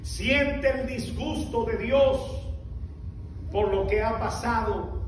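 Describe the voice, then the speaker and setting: High pitched preacher guy, slow and shouty, super echoey church, mic far away. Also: slight background noise. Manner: shouts and stretches words for emphasis. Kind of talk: impassioned sermon.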